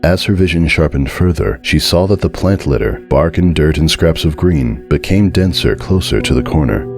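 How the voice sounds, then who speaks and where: A man with an exceptionally deep voice reads a horror story aloud as its narrator. His delivery is dramatic, deliberate and suspenseful, with music playing underneath. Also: lively intonation.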